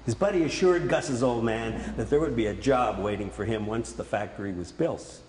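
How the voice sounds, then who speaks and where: A man's voice: mid-range voice, moderate pace, darkish tone. A man reading his own literary prose aloud as an author at a public reading. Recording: echoey hall, distant, faint noise.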